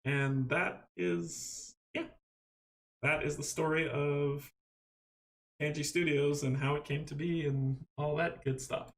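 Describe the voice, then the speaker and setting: A man's voice: on the high side, fairly even intonation, takes it slowly, fairly bright tone, slight background noise. A young man from Guyana, hosting a casual live stream and answering viewers' questions in an upbeat, rambling way that often restarts its sentences. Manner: rambling, self-correcting, upbeat.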